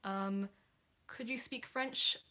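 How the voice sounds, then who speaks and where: A woman's voice: on the high side, steady tone, slow, pushed and bright. A teenage girl hosting a casual livestream, answering viewer questions in a chatty, apologetic way with many hesitations. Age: teens.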